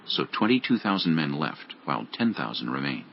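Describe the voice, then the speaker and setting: A male narrator reads a dramatized audio Bible. His voice is deep and gravelly, with lively movement. The recording is somewhat muffled. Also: a little fast, a little hiss or hum. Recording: muffled audio over background music.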